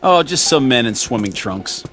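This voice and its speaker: Breezy wisecracking crewman played by a man, mid-pitched. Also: expressive, normal speed, a bit bright, natural voice. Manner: casual, colloquial, joking. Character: breezy, wisecracking male junior crewman, slightly uneasy. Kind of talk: dramatic radio acting.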